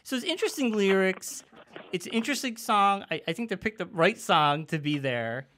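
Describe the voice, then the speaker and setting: Lively, bright-voiced male podcast host. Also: sits high, normal speed. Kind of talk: casual podcast discussion.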